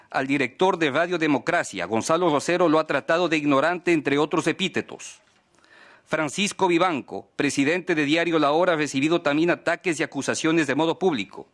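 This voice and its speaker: Male, voice a little higher than average. Advocate delivering a written statement formally. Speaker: press freedom advocate speaking at a human rights hearing. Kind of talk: formal prepared statement, read aloud.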